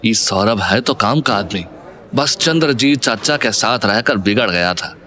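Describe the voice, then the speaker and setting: A man's voice: lowish voice, lively intonation, a little slow, sharp tone, pitched below the performer's own voice. Man acting as a folksy, confident businessman. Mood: musing. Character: confident, considerate businessman with rustic Hindi speech. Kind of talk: Hindi audio drama acting.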